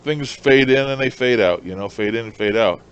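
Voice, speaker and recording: A man's voice: mid-range voice, moderate pace, muffled recording. A man gives a casual, unscripted demonstration as a hobbyist, explaining things and repeating himself. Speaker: amateur radio hobbyist, presenter. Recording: clear steady background noise.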